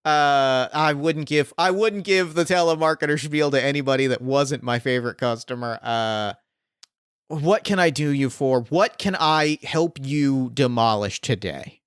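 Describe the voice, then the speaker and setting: A man playing a smooth-talking arms dealer. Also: mid-range voice, a little slow, no echo, clean studio sound, lightly acted. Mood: salesy. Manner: smooth salesman patter, placating. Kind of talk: improvised tabletop roleplay.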